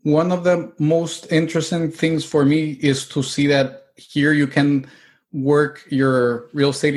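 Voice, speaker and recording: Man from el salvador, flat even voice, lots of um and restarts. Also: mid-range voice. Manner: hesitant, many restarts and fillers, explanatory. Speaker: real estate agent and investor. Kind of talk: interview answers.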